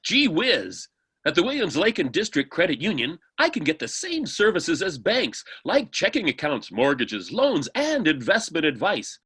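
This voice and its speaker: A woman plays a gee-whiz customer in a vintage radio advert, wholesome and eager. The voice is husky and swings up and down with lots of animation. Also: low-pitched, wildly swooping pitch, moderate pace, a bit bright, exaggerated voice. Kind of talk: old-time radio testimonial.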